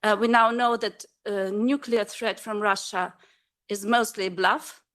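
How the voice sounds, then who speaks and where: Slow, halting woman asking questions. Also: mid-range voice, steady tone, fairly bright tone. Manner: hesitant, searching for words. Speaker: audience member asking questions. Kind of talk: conference question from the floor.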